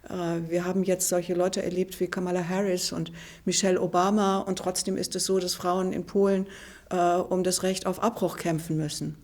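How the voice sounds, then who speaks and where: A woman's voice: fairly low pitch, little pitch movement, normal speed, a little mellow. A woman in her sixties from Germany, giving a thoughtful, hesitant interview answer. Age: 60s. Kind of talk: reflective interview clip.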